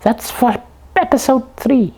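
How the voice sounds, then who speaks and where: Puppet detective: extremely high voice, proud, fussy, bossy, proper delivery for comic puppet dialogue. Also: female voice, animated, takes it slowly, mellow, husky, full character voice. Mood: self-important.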